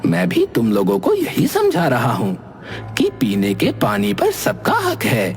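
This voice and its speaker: A man's voice: fairly high pitch, huge pitch swings, unhurried, vocal fry, full character voice. A man voicing an indignant cartoon ghost who lectures assertively in defence of forest animals. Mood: reproachful. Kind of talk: Hindi cartoon voice acting. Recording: long hall reverb, faint music behind.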